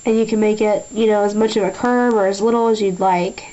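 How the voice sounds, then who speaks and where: A woman's voice: medium pitch, fairly even intonation, a little fast, warm tone, highs cut off. Nasal young woman doing a nail tutorial. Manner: chatty, frequent throat clearing and ums. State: nasal from a sinus infection. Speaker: beauty vlogger. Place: small room at a table.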